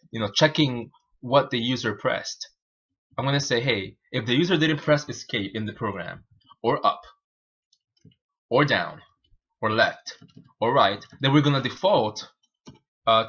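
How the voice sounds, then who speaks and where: A man whose native language is Spanish, hosting a programming livestream and thinking aloud in an informal, halting way as he explains his code. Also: fairly low pitch, sharp tone, lo-fi sound. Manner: halting, informal, self-narrating. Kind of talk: live coding tutorial, thinking aloud.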